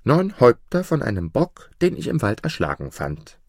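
A man's voice: medium pitch, wildly swooping pitch, normal speed, darkish tone, no room sound, clean studio sound, voice pushed up. A man voicing a bold, cheeky young shepherd boy in a fairy tale, answering briefly and nonchalantly.

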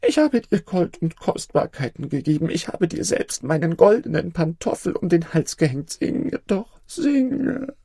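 A man voicing a dying old emperor, frightened and pleading, with high, strained, desperate cries. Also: sing-song, a little slow, creaky, warm tone, husky, no room sound, clean studio sound, full character voice.

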